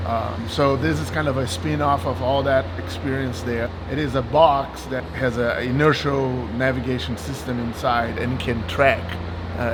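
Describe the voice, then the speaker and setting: Brazilian-accented man, gravelly voice. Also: mid-range voice. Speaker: aerospace professor and aerobatic pilot. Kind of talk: casual explanation to camera.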